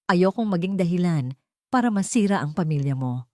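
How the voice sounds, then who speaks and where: A woman's voice: fairly low pitch, lively intonation, fairly quick, darkish tone, no echo, clean studio sound, close to an everyday voice. A woman voicing a scheming young woman who feigns sweet, plaintive concern in an audio story. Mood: tearful.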